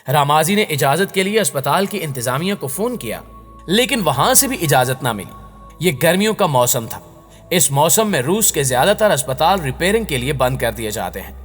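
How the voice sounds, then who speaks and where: A man narrates a scripted dramatic story in a fairly high voice with very animated intonation. His voice-over carries a long reverb. He delivers it as a storyteller addressing listeners. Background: background music.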